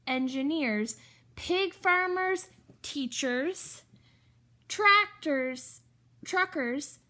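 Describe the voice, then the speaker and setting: A woman reading a children's story aloud in an expressive, emphatic way, with chatty asides to the listeners. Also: high-pitched. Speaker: storybook reader.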